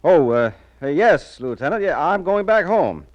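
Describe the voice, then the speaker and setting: A man's voice: fairly high pitch, big pitch swings, normal speed, dry recording, highs cut off. A man playing a flustered, evasive, scheming conventioneer in a 1940s radio crime drama, stammering and agitated.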